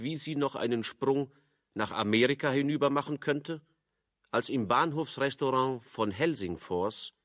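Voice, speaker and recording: A man giving scripted literary narration for a radio drama in a measured storytelling manner. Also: medium pitch, fairly bright tone, dry recording, studio quality.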